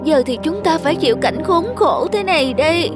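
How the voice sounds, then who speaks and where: A woman voicing a young, vain female sunflower in a children's cartoon, expressive and exclamatory. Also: high voice, fairly quick. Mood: miserable, complaining. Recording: music behind the voice.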